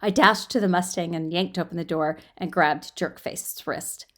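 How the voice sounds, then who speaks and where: A woman reading a story aloud as an amateur narrator, in an expressive, emphatic storytelling manner with occasional stumbles over words. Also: lowish voice, moderate pace. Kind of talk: dramatic audiobook-style fiction narration.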